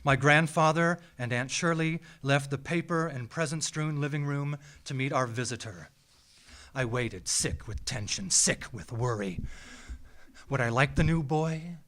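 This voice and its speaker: An American man reads a scripted personal story live on stage, with a measured, theatrical delivery and deliberate dramatic pauses. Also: medium pitch, a bit bright. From small town in Minnesota, United States. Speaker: writer reading a personal essay. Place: small theatre, live event.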